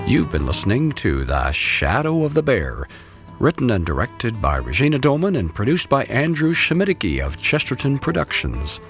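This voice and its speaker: Animated male announcer over music. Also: medium pitch, moderate pace. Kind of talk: scripted credits narration.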